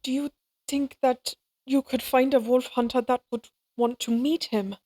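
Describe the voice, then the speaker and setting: A tender, anxious young mother played by a woman in a cinematic audio drama. Her voice is high and she takes her time with each phrase. She comes across as hesitant and wistful. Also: fairly even intonation, measured pace, warm tone. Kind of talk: cinematic audio roleplay acting.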